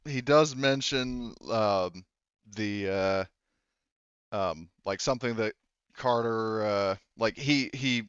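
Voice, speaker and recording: A man narrating casually as game master, thinking aloud in a halting way. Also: lowish voice, a bit bright, clean tone, no echo, highs cut off.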